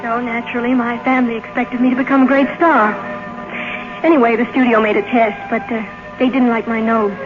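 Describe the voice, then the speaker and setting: An actress playing an earnest, eager young studio script reader in a radio drama, plain-spoken and sincere. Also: female voice, on the high side, level tone, a little fast, over music, lightly acted. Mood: candid, cheerful. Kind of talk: 1950s radio drama acting. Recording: noisy, dull old radio broadcast recording.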